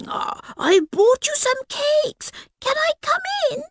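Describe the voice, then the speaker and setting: A woman voicing a cunning wolf who puts on a high, singsong, sweet little-girl voice. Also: lively intonation, slow, a bit bright, dry recording, studio quality, heavily acted. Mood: falsely sweet.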